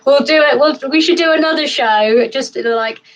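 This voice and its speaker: A woman's voice: sits high, normal speed. A woman hosting a live stream over a video call, upbeat and greeting viewers. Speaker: host.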